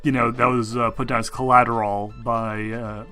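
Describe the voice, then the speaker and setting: Man, game master, bright voice, halting casual narration, light background music. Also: mid-range voice, little pitch movement. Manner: halting, hedging, sweary. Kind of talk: casual tabletop game narration over a group call.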